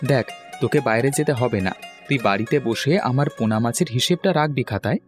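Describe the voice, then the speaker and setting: A man's voice: mid-range voice, fast, soft background music, lightly acted. A man playing a kind, earnest village fish seller in a cartoon, plain-spoken and easily discouraged. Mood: kindly. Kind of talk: cartoon voice acting.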